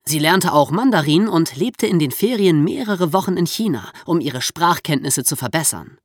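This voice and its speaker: A woman's voice: sits low, animated, normal speed, no echo, clean studio sound. A woman hosting a podcast for young listeners, explaining and storytelling in a conversational way with casual asides. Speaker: podcast host.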